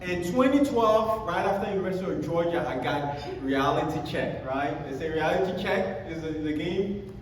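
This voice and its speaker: A man's voice: a little high, moderate pace, a little hiss or hum. Cameroonian man speaking in an echoing hall. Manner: animated storytelling with self-deprecating jokes. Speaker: conference speaker. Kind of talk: motivational keynote talk. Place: large conference hall. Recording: echoey hall, speaker far from mic.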